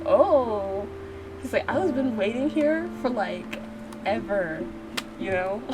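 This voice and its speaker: A woman's voice: a little high, low-quality recording. A woman hosting a game playthrough, narrating it and adding playful, slangy, casual reactions. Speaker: gaming channel host. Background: background music.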